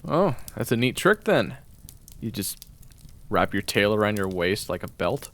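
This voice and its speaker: A man performing a first-person audio roleplay, narrating and talking to the listener, often stammering and flustered, sometimes whispering and sometimes yelling. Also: on the low side, sing-song, a little slow. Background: video game soundtrack music.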